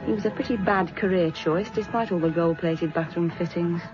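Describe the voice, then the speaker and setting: An actress playing a sharp-tongued, sardonic British working woman questioned as a witness in a radio drama, dry and defiant. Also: on the low side, moderate pace, highs cut off, over music, lightly acted. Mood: bitter, defiant.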